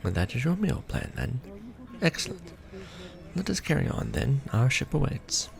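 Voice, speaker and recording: A man playing a centuries-old vampire lord in a romantic ASMR roleplay, proud and doting, speaking formally with theatrical, measured phrasing. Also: medium pitch, sing-song, vocal fry, soft background music. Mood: tender, courteous.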